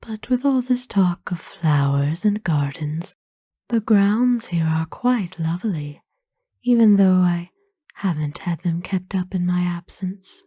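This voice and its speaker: Woman voicing a slow, whispering, gentle vampire lady. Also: lowish voice, animated, no room sound, studio quality, full character voice. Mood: soft, admiring. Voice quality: soft, breathy whisper. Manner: slow, formal, gently soothing phrasing. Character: kind, ancient aristocratic lady vampire owner, gentle and wistful. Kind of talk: whispered ASMR roleplay.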